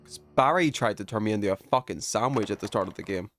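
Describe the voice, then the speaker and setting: A man's voice: on the low side, fast, fairly bright tone, faint music behind. A man live streaming a video game with casual, slangy commentary full of swearing.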